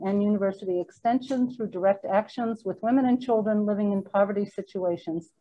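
A woman with a Spanish and French accent, co-director of a human rights center, giving a formal, measured welcome and introducing a guest speaker at a hybrid talk. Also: mid-range voice, mellow, lo-fi sound. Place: lecture room at a hybrid event.

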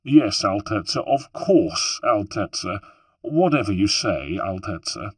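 Storybook role: servile, officious ferry captain done by a man, formal, animated, dry sound. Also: medium pitch, moderate pace, slightly rough, no room sound, pitched above the performer's own voice.

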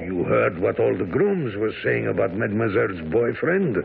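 An actor playing a sly, chummy villain who wheedles, in a radio drama. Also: male voice, on the low side, big pitch swings, normal speed, gravelly, exaggerated voice. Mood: coaxing. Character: sly scheming British lowlife villain recruiting thugs. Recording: muffled narrow-band old radio broadcast, crowd behind.

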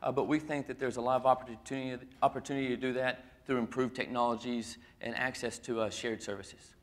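A man's voice: mid-range voice, flat delivery, a little fast. A man in his thirties speaking on a conference panel in a fluent, confident, businesslike way, on a lapel mic. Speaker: nonprofit executive director, panelist. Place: indoor conference stage with audience.

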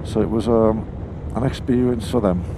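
A man's voice: lowish voice, fairly even intonation, moderate pace. A British man vlogging while riding a motorcycle, talking casually and rambling in a self-mocking way. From Britain. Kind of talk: casual helmet-cam riding commentary. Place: on a moving motorcycle outdoors. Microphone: helmet-mounted mic. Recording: wind and road noise behind.

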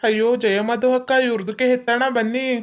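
A man's voice: extremely high pitch, fairly even intonation, fairly quick. A man playing a cheeky young man who speaks broken Kannada with odd phrasing, in a comedy skit. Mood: arguing.

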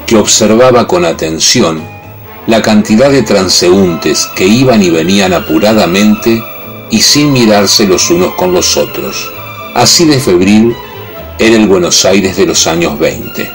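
A man's voice: deep voice, lively intonation, a little slow. A man delivering scripted narration for a radio soap opera. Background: music.

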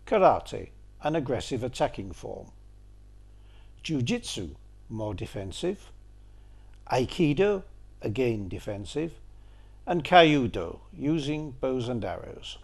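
A man's voice: medium pitch, sing-song, slow pace, creaky, pauses often, husky. An older man giving an illustrated lecture, with dry, wry asides. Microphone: seen on camera briefly, then voice-over.

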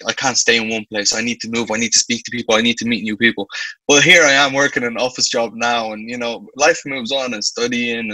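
A man's voice: fairly low pitch, pushed and bright, dry recording, poor audio. A man in his twenties with a Dublin Irish accent, talking earnestly as a guest on a video call, often restarting his sentences. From Ireland. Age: 20s. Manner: earnest, with frequent restarts and "you know". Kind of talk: reflective conversational interview. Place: indoor room with light walls. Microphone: video call webcam.

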